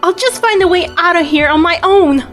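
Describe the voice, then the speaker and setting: A very high, bright voice, from a woman acting the part belongs to a stubborn young heroine who refuses to trust anyone. Her delivery is insistent, with faint music behind, in a fan audio drama. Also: fairly quick. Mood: defiant. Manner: defiant, insistent. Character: defiant young woman with magic powers, distrustful.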